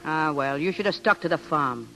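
A woman's voice: sits low, expressive, moderate pace, pushed and bright, low-quality recording. Brash, swooping older waterfront woman. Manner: slangy, chuckling, brash. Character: rough, good-hearted older waterfront woman, nosy and easygoing. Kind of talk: 1930s radio acting. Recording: vintage 1930s radio broadcast.

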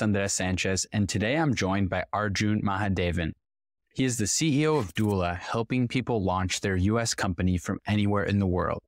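A man's voice: fairly low pitch, dry recording, clean studio sound. Laid-back young male podcast host. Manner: friendly, laid-back, says dude. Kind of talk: casual podcast hosting. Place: small room with dark backdrop. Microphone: studio mic on a boom arm, headphones.

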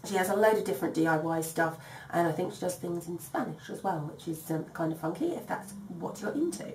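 A woman's voice: fairly low pitch, expressive, a little fast, a little mellow, a little hiss or hum. Woman with a cold-strained voice, hosting. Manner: chatty, many ums, apologetic. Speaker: sewing tutorial host. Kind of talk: casual craft tutorial. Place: home dining room by garden windows. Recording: echoey room, slightly distant mic.

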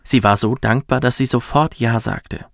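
A man narrating a children's bedtime story in a soft, soothing, measured way. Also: medium pitch, creaky, no room sound, clean studio sound. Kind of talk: gentle scripted storytelling for children.

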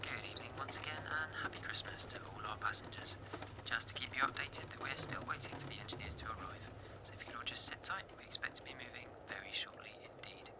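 A woman's voice: very deep voice, quick pace, whispered. A woman playing a polite, apologetic train announcer in an audio drama, with formulaic delivery.

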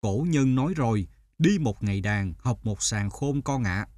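An actor voicing a caring, sage older father in an animated fairy tale, giving measured advice. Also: mid-range voice, over music, natural voice. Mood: solemn, caring. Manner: measured, advising. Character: caring, sage older father advising his departing son.

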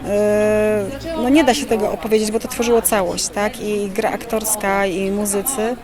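A woman's voice: medium pitch, flat delivery, moderate pace. Hesitant woman answering amid a crowd. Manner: hesitant, full of fillers. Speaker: festival visitor interviewed. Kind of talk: vox-pop answer. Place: outdoor festival. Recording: crowd noise around.

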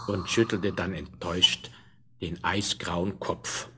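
A man's voice: low voice, flat delivery, takes it slowly, a bit bright. A man narrating a scripted fairy tale in the manner of a 1970s children's audio play. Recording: noisy vinyl rip with clicks.

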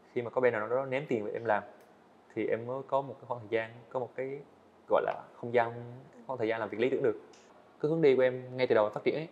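A young man talks quickly and earnestly as a podcast guest, laying out his plans in long, casual explanations. His voice sits at an ordinary pitch. The recording sounds slightly dull. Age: young adult. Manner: earnest, explains at length with fillers. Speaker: guest, student animator. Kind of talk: casual interview talk. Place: studio set, indoors.

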